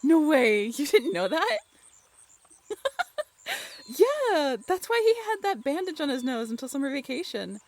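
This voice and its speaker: A woman's voice: sits high, sing-song, a little slow, long pauses, full character voice. A young woman playing a playful, tough-talking but shy writer in an intimate, chatty audio roleplay. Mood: teasing, amused. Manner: chatty, teasing, giggly.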